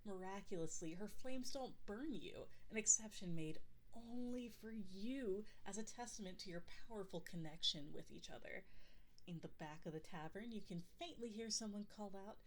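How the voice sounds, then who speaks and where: A woman's voice: medium pitch, moderate pace, natural voice, poor audio. A woman playing a possessive, insistent yandere girlfriend who runs a tabletop game in an ASMR roleplay, rambling with defensive asides and close to the mic. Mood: playful, smitten narrating. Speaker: game master. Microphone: close binaural microphone.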